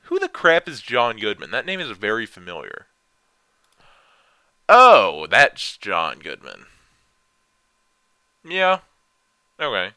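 A young man with a deep voice, rambling and self-deprecating as he answers viewer questions in a casual vlog. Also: bright, forceful tone, clear, resonant tone, no echo, clean studio sound.

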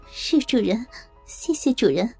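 Audiobook character, woman playing a meek female servant, fairly high, soft-edged breathy tone, bashful, submissive. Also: sing-song, measured pace, soft background music, heavily acted. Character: shy, deferential, hardworking maid grateful to her master.

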